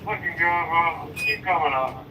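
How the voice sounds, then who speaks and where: A man guiding a crane lift, giving brief, steady instructions. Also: fairly high pitch, a little slow. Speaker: crane dogman giving directions. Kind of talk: radio work instructions. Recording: distant two-way radio with loud noise.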